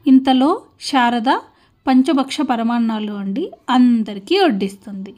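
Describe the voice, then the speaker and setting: A woman's voice: on the high side, lively intonation, unhurried, darkish tone. A woman storyteller reading scripted narration for a cartoon moral tale.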